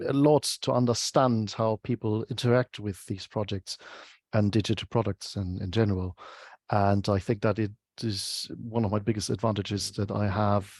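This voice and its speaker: A man with a German accent, a guest in an interview on a video call, speaking English as a second language and often pausing to search for words. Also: on the low side, a little mellow, no room sound, studio quality. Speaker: guest, UX researcher. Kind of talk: casual interview chat.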